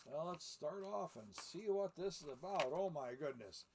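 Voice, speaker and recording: Older man reading a storybook, creaky voice. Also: on the high side, animated, moderate pace, a little mellow, a little hiss or hum. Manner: folksy, chatty, rambling asides. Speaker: storyteller in the persona of Santa Claus. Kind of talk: reading a picture book aloud with folksy asides.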